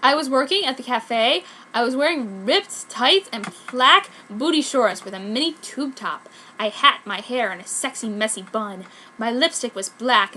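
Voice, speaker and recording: A woman's voice: high voice, bright, forceful tone, a little hiss or hum. A woman reading fan fiction aloud as narrator, with a theatrical, mock-serious melodramatic delivery. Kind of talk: dramatic comedic story reading.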